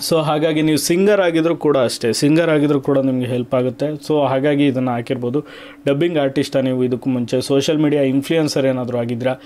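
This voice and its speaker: A man's voice: medium pitch, steady tone, fast, a little mellow. A man hosting an explanatory vlog, mixing in English terms and listing things emphatically. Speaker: YouTube channel host. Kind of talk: explanatory vlog talk to viewers.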